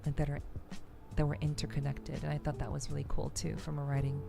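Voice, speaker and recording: A woman's voice: low-pitched, completely flat delivery, quick pace, vocal fry, music in the background. A woman streamer chatting casually to her live audience, thinking aloud and often repeating her words. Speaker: VTuber streamer. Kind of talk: casual livestream chat.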